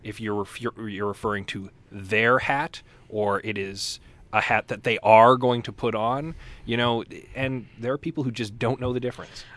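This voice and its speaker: A man's voice: lowish voice, fairly even intonation, normal speed, a bit bright. A man co-hosting a podcast and talking in a casual, conversational way. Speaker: podcast co-host. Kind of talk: casual chat.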